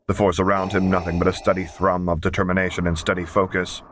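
A man with a very deep voice narrates an audiobook story. His delivery is scripted storytelling, recorded with faint noise in the background. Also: level tone, quick pace, a bit bright. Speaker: audiobook reader.

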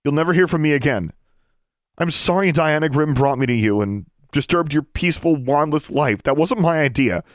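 A man's voice: lowish voice, quick pace, a little mellow, no echo, natural voice. A man voicing a desperate young girl who pleads insistently and defiantly in an audiobook story. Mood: desperate pleading.

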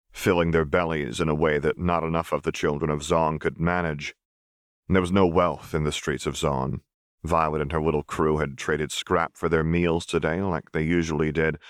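Low male audiobook narrator, calm and dry. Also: no room sound. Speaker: audiobook reader. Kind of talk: scripted narration.

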